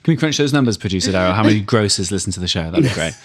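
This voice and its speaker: A man's voice: fairly low pitch, animated, racing. A man co-hosting a casual political podcast, talking in a jokey, informal way. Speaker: podcast co-host.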